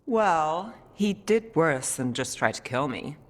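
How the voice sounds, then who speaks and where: An actress playing a cool, dryly witty professional fixer in a video game, casual and wry. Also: female voice, deep voice, very animated, slow pace, heavily acted. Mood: dry annoyance. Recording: reverberant hall, faint music.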